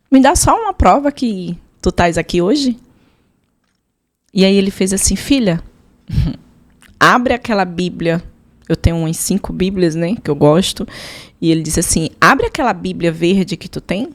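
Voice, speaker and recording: A woman with a Brazilian accent telling personal testimony as a podcast guest, emphatic, repeating phrases and voicing her own prayers. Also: on the low side.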